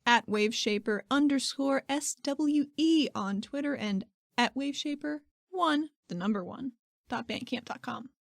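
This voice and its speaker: A woman's voice: high voice, unhurried, vocal fry, dry recording, clean studio sound. A woman co-hosting a podcast as a player, chatting and improvising roleplay casually.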